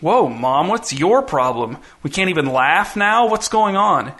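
A man's voice: medium pitch, moderate pace, bright, forceful tone, natural voice. A man voicing a defiant seventh-grade girl who talks back in a blunt, sarcastic manner. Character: mouthy seventh-grade older sister, defiant.

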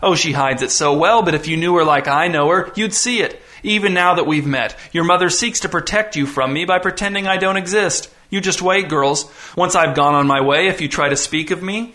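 Gleeful sinister visitor, high and lively, insinuating, voiced by a man. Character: jolly, giddy, menacing male visitor.

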